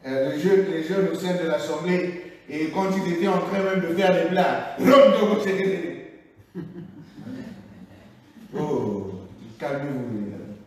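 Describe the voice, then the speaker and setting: A man preaching a sermon as pastor, emphatic, peppering his talk with alleluias and swinging between loud and hushed. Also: a little high, slow pace. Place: church hall. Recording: echoey church hall, voice from far.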